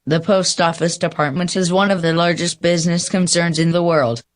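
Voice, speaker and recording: Theatrical courtroom advocate played by a man, lightish higher pitch, confident and formal, radio drama. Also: steady tone, normal speed, lightly acted. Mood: emphatic. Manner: formal, emphatic oratory. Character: confident, theatrical defense lawyer. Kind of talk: radio play courtroom acting.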